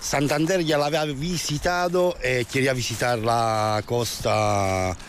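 Italian pilgrim man, halting outdoors. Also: medium pitch, a little hiss or hum. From Italy. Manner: halting, searching for words. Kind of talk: casual outdoor chat. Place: outdoors on a walking trail.

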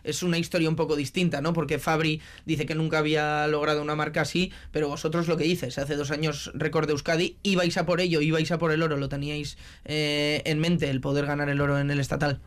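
A man's voice: a little high, level tone, fast, few pauses. A man with an accent from Spain, conducting a conversational sports interview as the host. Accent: Spanish, from Spain.

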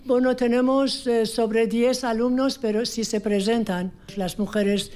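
A woman from Iran with a Persian accent, a volunteer language teacher answering calmly in plain, slightly halting Spanish. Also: a little high. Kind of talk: casual interview answers.

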